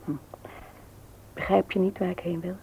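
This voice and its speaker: An actress playing an earnest, gently persuasive married woman in a radio play, often hesitant and soft. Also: fairly low pitch, flat delivery, normal speed, creaky, a little hiss or hum, low-quality recording. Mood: hesitant, hopeful. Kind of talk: Dutch radio play acting.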